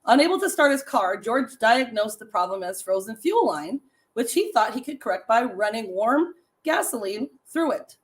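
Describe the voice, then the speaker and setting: A middle-aged woman with a raspy, slightly higher-pitched voice hosts a relaxed live stream. She chats loosely with viewers, laughs often, and reads trivia and short holiday stories aloud in an easygoing, conversational way. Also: moderate pace.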